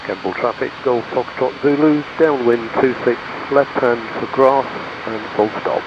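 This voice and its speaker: A man's voice: mid-range voice, moderate pace. A man pilot making clipped, formulaic traffic calls over an aircraft radio. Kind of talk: clipped aviation radio call. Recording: dull, noisy aircraft radio transmission.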